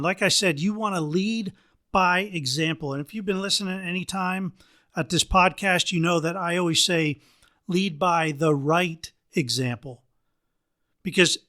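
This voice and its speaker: A middle-aged man from the United States hosting a solo leadership podcast, a bit hoarse from allergies, talking earnestly and often restarting phrases. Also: high-pitched, sharp tone. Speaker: podcast host.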